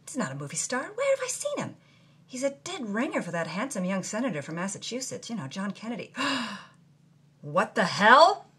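A woman giving a theatrical, scripted narration of a memoir, dropping to a breathy hush in quiet moments. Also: medium pitch, huge pitch swings, moderate pace. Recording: music bed under the voice.

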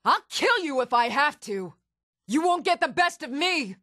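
A woman's voice: sits high, sing-song, a little slow, bright, forceful tone, dry recording, clean studio sound, heavily acted. A woman playing a stern, challenging Nord townswoman ready for a fight in a fantasy video game. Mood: fierce. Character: fierce, even-tempered Nord townswoman warrior, commoner in a fantasy world. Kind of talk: fantasy video game combat and reaction lines.

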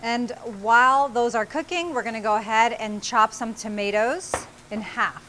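A woman's voice: on the high side, normal speed, bright, forceful tone, a little hiss or hum. A woman in her forties hosting a cooking show, giving friendly step-by-step instructions as she cooks in a small studio kitchen on a lapel mic. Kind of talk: cooking demonstration.

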